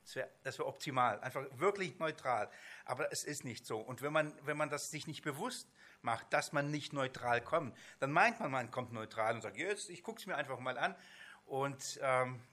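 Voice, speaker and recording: Male Bible teacher, non-native speaker, sharp forceful voice, informal lecture delivery with halting rephrasing. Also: medium pitch, expressive. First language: not German.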